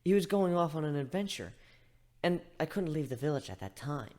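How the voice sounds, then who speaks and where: A man's voice: a little high, lively intonation, fairly quick, darkish tone, natural voice. A man voicing a carefree, playful cat woman healer in a story reading. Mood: breezy.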